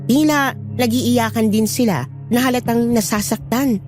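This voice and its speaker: A woman's voice: a little high, measured pace, darkish tone, soft background music. A woman narrating a scripted first-person Filipino horror story.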